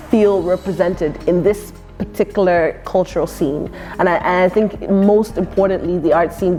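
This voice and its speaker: A woman's voice: fairly low pitch, warm tone. A young woman from Ireland of Nigerian heritage, who grew up with Yoruba and English, answering interview questions in a thoughtful, earnest way with frequent fillers and restarts. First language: Yoruba alongside English. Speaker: poet and performer.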